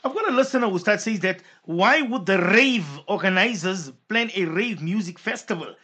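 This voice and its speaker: A man's voice: high voice, expressive, moderate pace, sharp tone, breathy edge. A man hosting a radio show, reading out listener messages and questions with brief affirming hums.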